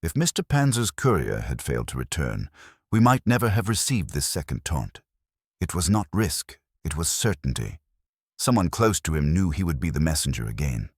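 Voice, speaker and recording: A man's voice: extremely low pitch, very animated, normal speed, breathy edge, no room sound, studio quality, exaggerated voice. A man voicing an imperious, pedantic master detective with pompous, formal and precise speech in an audiobook. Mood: lofty certainty.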